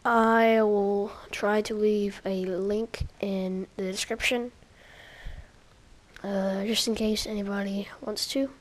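A young woman who is sick, coughing and sniffling, giving casual gameplay commentary with hesitant pauses and many fillers. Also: mid-range voice, steady tone. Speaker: gaming YouTuber.

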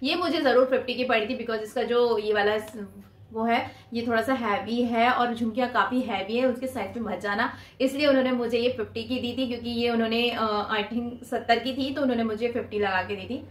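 A young woman chats casually through a shopping haul, holding each piece up to the camera as she talks. Her voice sits fairly high for a woman. The small indoor room gives it a noticeable echo. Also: steady tone, fairly quick. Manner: chatty, showing items to camera with her hands. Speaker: vlogger.

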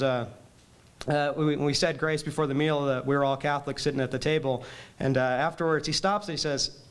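A young man introducing a guest speaker in an indoor chapel hall, halting with many pauses and restarts. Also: on the high side. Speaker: student organizer introducing speaker. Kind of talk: introduction speech. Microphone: podium mic at a distance.

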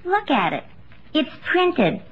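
A woman's voice: high voice, wildly swooping pitch, slow, exaggerated voice. An actress playing a vain, snippy woman in a 1950s radio comedy. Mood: snippy, self-satisfied. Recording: muffled old radio recording.

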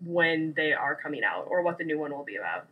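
A woman's voice: low-pitched, sing-song, fast, husky, dull audio. A woman co-hosting a podcast in casual chat, with a blunt, informal way of talking. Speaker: podcast co-host. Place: small room.

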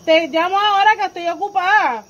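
A woman's voice: extremely high pitch, fairly quick, fairly bright tone, highs cut off. A woman in her thirties with a Puerto Rican accent chats casually and playfully in slang, outdoors into a phone. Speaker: vlogger making prank calls. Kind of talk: casual chat to camera and on video calls. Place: outdoor porch at night. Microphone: phone at close range. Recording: noisy outdoor night recording with insects.

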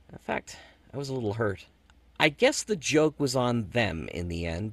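Expressive male host, very dry sound. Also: mid-range voice, no echo. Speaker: host and producer. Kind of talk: scripted personal narration, radio sign-off.

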